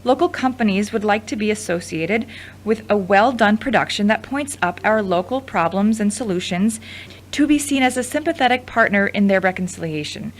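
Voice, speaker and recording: A woman's voice: mid-range voice, animated, moderate pace, slight background noise, natural voice. A woman acting an earnest, articulate young reporter. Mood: earnest, measured.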